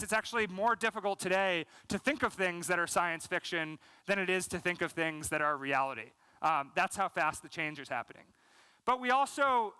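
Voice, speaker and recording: A man's voice: sits high, smooth, no room sound, poor audio. A man in his thirties with an American accent giving a conference keynote on a large stage, speaking fluently with frequent "um" and "you know" and gesturing as he talks. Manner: energetic, gesturing while speaking. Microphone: headset mic.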